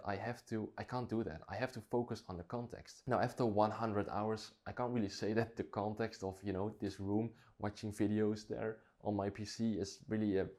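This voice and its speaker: Low, mellow-voiced Dutch man vlogging. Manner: reflective, thinking aloud with restarts. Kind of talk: casual talk to camera.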